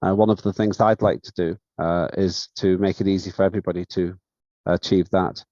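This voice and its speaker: A man chairing a parliamentary session over a video call, speaking in a measured, halting way with many fillers. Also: sits low, fairly even intonation, darkish tone, no room sound. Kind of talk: formal remarks at an online session. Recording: dull, dry lo-fi sound.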